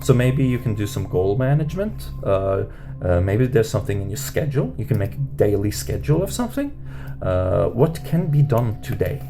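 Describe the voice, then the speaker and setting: A man teaching meditation off the cuff, rambling with many fillers and restarts and lifting his pitch at the end of questions. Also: sing-song, normal speed. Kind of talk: unscripted teaching talk. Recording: music playing under voice. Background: guitar music.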